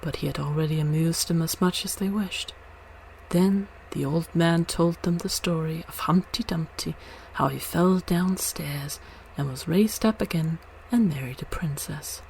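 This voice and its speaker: A woman's voice: sits low, a little slow, vocal fry, darkish tone, rough recording. A woman narrating a storybook as a read-aloud, with some noise behind her voice. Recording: noticeable background noise.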